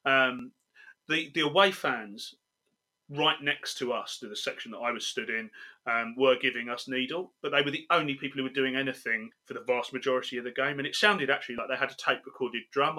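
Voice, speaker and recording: An English man on a football podcast panel, telling lively match-day stories. His voice is very bright and sharp. He talks in an easy, casual way. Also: mid-range voice, fairly quick. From England.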